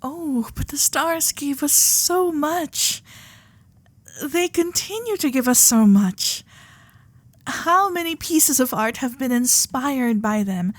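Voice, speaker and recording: A woman playing a shy, starry-eyed young Gilded Age heiress in an intimate romantic ASMR roleplay, breathy and often whispering, with genteel, hesitant manners. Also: high-pitched, expressive, dry recording. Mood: dreamy, excited. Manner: genteel, old-fashioned politeness, hesitant pauses.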